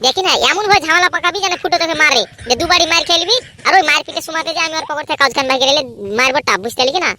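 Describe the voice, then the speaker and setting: Woman voicing a high, bossy scheming cartoon boy. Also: racing, bright, forceful tone. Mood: scheming, urgent. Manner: impatient, commanding, boastful. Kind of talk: amateur comedy cartoon dub.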